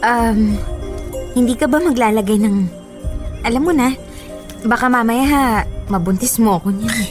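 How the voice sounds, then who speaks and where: Radio drama part: anxious young female sweetheart acted by a woman, fairly high voice, music behind. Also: normal speed, pauses often, close to an everyday voice. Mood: hesitant, worried. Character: hesitant, anxious young girlfriend.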